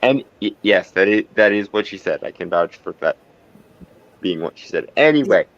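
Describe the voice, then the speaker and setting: A man's voice: lowish voice, animated, normal speed, fairly bright tone, dry recording, dull audio. A man playing a tabletop game, casually explaining and reasoning out the logic of what happens. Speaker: player.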